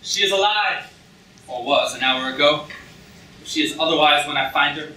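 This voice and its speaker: A man's voice: a little high, normal speed, pushed and bright. A man playing a pompous, haughty prince in a declamatory comic style in a staged reading. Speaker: actor in a student staged reading. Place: lecture hall stage, indoors. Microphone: far camera in audience. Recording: noisy echoey hall, distant camera mic.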